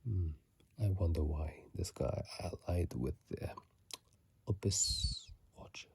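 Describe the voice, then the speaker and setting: A person whispering ASMR commentary about a video game in a husky, breathy, halting way, recorded on a phone microphone. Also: sits low, a little mellow. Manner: hesitant, halting, repeats words. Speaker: host of an ASMR channel.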